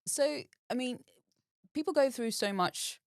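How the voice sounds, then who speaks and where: A woman co-hosting an interview show, asking gentle, sympathetic questions. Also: high voice, animated, a little slow, no echo, clean studio sound. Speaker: co-host. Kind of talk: casual interview talk.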